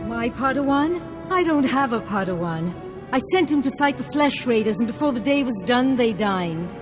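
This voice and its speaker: A woman's voice: on the high side, animated, normal speed, over music, lightly acted. An actress playing a deranged female Jedi master in a video game, menacing and tormented, with a theatrical delivery. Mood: bitter. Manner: theatrical, unhinged.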